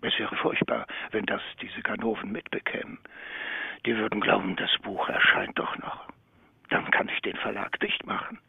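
A man's voice: lowish voice, flat delivery, normal speed, dry recording, exaggerated voice. A man playing a frightened, apologetic publishing house owner on the phone in a radio crime drama, formal and pleading. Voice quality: gravelly, raspy. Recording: dull, dry telephone-like sound.

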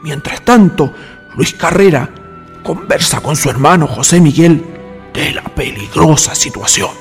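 A man's voice: a little high, animated, a little slow. A man narrating a historical radio drama from a script in a dramatic style. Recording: long reverb, music behind.

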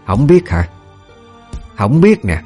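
A cruel, middle-aged mistress of a big house, acted out by a man for an audio story. She berates and snarls in a Southern Vietnamese accent, pushed higher than the reader's low natural voice. Faint music plays underneath. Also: lively intonation, moderate pace, a little mellow, breathy edge. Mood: furious.

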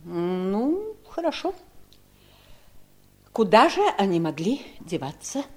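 An actress playing a haughty, greedy, scheming wife in a Soviet seventies radio drama, with a mocking, theatrical, disdainful delivery. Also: female voice, medium pitch, sing-song, slow pace, husky, heavily acted. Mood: mocking, impatient.

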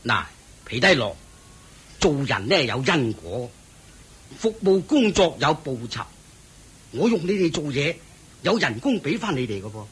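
A stern, raspy old-style master. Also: male voice, a little high, sing-song, measured pace, long pauses, fairly bright tone, full character voice. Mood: lecturing. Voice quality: lecturing, commanding. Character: stern thrifty wealthy male master of house. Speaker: head of household. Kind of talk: radio drama acting.

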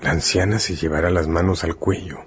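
A man narrating a scripted radio drama in a measured, solemn storytelling manner. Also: deep voice, steady tone, over music.

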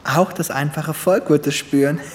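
An actor playing a sadistic, mocking crime boss in a radio play, musing theatrically with little chuckles. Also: male voice, a little high, takes it slowly. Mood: gloating, musing. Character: sadistic male crime boss gloating over his dying victim.

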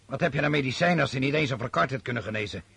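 A man acting an imperious, brooding French emperor on campaign in a radio play, with a commanding, declamatory manner and a husky voice. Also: medium pitch, animated, racing, pushed and bright, no echo, heavily acted. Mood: irritable, brooding.

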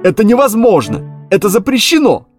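A drawn-out, haughty giant worm guardian, voiced by a man who speaks in a raised voice that leaps up and down. He stays lofty and slow, but he is quick to fluster. Faint music plays underneath. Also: high-pitched, huge pitch swings, pitched above the performer's own voice. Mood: pompous. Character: huge pompous male worm guardian.

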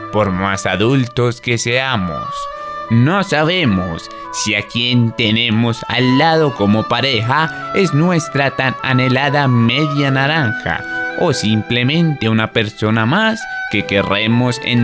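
A man with a Colombian accent giving scripted storyteller narration for a radio drama. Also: mid-range voice. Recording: music under the voice.

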